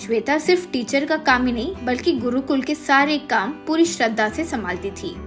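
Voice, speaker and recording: A woman's voice: high-pitched, lively intonation, moderate pace, faint music behind. A woman reading a scripted moral story as its narrator.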